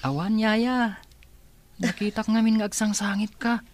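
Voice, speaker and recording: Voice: medium pitch, steady tone, normal speed, close to an everyday voice. A performer playing an earnest young son who speaks with polite concern, with an Ilocano accent.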